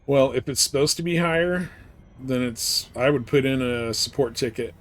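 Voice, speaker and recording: A man's voice: medium pitch, normal speed. A man chatting casually as he live streams a game. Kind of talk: casual gaming stream commentary.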